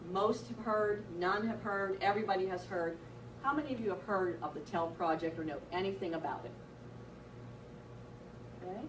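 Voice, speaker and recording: A woman gives a workshop presentation in a loud teacher voice, guiding remote sites through her slides. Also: on the low side. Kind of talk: professional development presentation. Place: lecture room, broadcast to remote sites. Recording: echoey room from far off, noisy.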